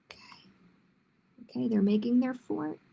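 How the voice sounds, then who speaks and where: A woman children's librarian reading a picture book aloud expressively, with gentle, encouraging chatty asides to young listeners. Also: fairly high pitch, a little slow, creaky, husky.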